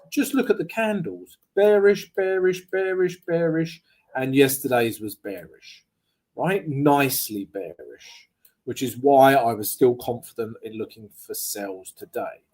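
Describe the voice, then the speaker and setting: A man's voice: mid-range voice, husky. Chatty man hosting a trading stream. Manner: lively, joking, chatty with viewers, frequent "right?" and "guys". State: slightly croaky voice. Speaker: forex trading livestream host. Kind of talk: casual live trading chat. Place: home setup abroad, streaming live.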